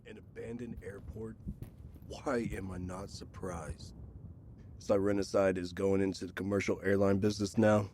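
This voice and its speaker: A jaded, suspicious man, played by an actor in an audio thriller, biting and sarcastic. His voice sits low, with a light layer of ambient noise around it. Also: steady tone, normal speed, dark tone, close to an everyday voice. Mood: sarcastic, bitter. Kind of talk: audio drama acting.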